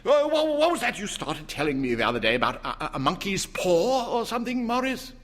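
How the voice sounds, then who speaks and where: A man voicing an excitable, querulous elderly father in a story reading, with blustering, animated exclamations. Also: sits high, fairly quick, pitched above the performer's own voice, full character voice. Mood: eager, curious. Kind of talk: dramatized short-story reading. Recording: reverberant hall.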